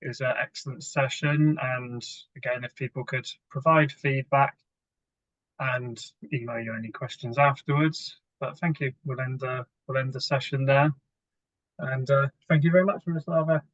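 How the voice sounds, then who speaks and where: A man's voice: mid-range voice, fairly even intonation, moderate pace, fairly bright tone, no room sound, lo-fi sound. A man moderates a webinar session, speaking politely and hesitantly with "um" fillers as he invites questions. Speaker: conference moderator. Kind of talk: hosting a webinar Q&A.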